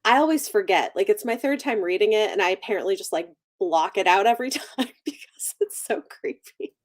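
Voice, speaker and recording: A woman's voice: medium pitch, animated, brisk. A woman hosting a casual livestream book chat, talking conversationally and thinking aloud as she goes. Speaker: book channel host.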